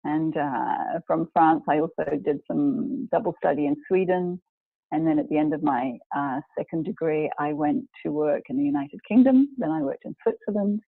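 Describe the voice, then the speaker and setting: A woman in her forties from New Zealand talks about her life as a guest in a live video chat. She speaks in a measured way, pausing often to find the right words. Her voice comes through thin and phone-like, with a dry, close sound. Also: deep voice, slightly rough, no echo. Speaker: guest, executive coach.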